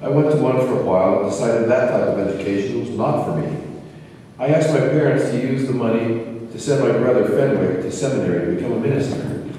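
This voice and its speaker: A man's voice: medium pitch, a little fast, mellow, lightly acted. A man playing a genial, inquisitive elderly spiritual teacher in an amateur radio play. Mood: reflective, assured. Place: large reverberant hall. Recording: very echoey hall, distant mic, faint noise.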